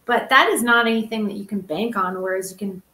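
A woman's voice: medium pitch, fast, sharp tone, reverberant. A woman speaking on a discussion panel in an earnest, matter-of-fact way, piling up figures as she goes. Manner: earnest, piles up figures and restarts. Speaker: panel guest, former social seller.

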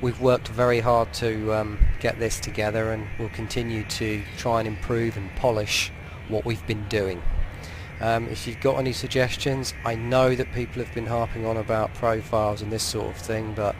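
A man's voice: on the low side, flat delivery, moderate pace, background noise. A man casually demonstrating a product, giving spoken commentary and short voice commands.

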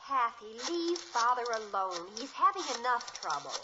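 An actress playing an earnest, excitable American teenage daughter in a 1950s radio sitcom, with girlish delivery. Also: a little high, moderate pace. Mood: defensive. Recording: dull, noisy, clicky old radio recording.

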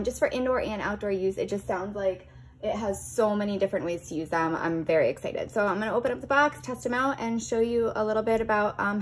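A woman giving a casual, chatty product review, explaining features as she goes in an informal unboxing style. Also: medium pitch.

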